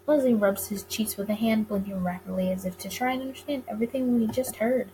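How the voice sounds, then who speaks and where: A woman's voice: mid-range voice, fast, mellow, a little hiss or hum. A woman reading a visual novel aloud, narrating and stumbling over the odd word before rereading it. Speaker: let's-play reader of a visual novel.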